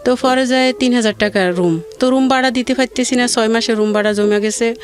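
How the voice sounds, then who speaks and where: A woman telling her life story in a plaintive, rambling way with halting repeats. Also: a little high, faint music behind. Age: adult mother of two. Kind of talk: personal hardship testimony in conversation.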